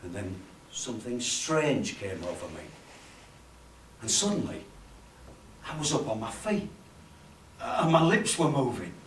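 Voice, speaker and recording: A man's voice: medium pitch, sing-song, measured pace, long pauses, slight background noise. An actor playing a nervous, lonely, unassuming man who stammers and halts through a self-deprecating comic stage monologue. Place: cafe bar performance space. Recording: echoey room, distant camera microphone.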